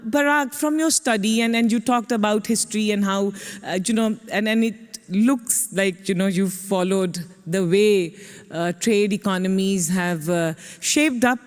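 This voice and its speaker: A woman's voice: medium pitch, measured pace, in a large hall. A woman moderating a conference session, framing long questions with hesitations and restarts. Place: conference stage.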